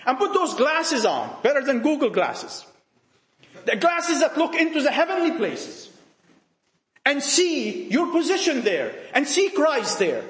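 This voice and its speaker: A man's voice: extremely high pitch, big pitch swings, a little slow, fairly bright tone, roomy sound. A man preaching a sermon to young people, emphatic and full of rhetorical questions, often repeating phrases and restarting sentences.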